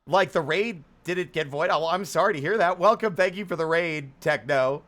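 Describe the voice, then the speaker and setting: A man's voice: fairly high pitch, moderate pace, fairly bright tone. A man chatting casually as he hosts a livestream, playful and theatrical, rambling between greetings and exclamations. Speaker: live streamer, host. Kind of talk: casual livestream chat with viewers.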